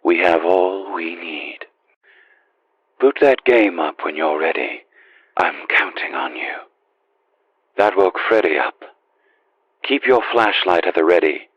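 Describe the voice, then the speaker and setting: Man acting a low, husky game guide on radio. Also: expressive, slow pace, pauses often, fairly bright tone, dry recording, highs cut off, exaggerated voice. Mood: grave, watchful. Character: grave, urgent male guide helping the player. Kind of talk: video game radio guidance lines.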